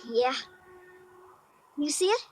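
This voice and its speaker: An actor playing a shy but tough-talking ten-year-old boy in 1950s radio drama, with halting, slangy speech. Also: high voice, very animated, dry recording, exaggerated voice. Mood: shy, casual. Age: child figure, almost eleven. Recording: dry vintage 1950s radio recording.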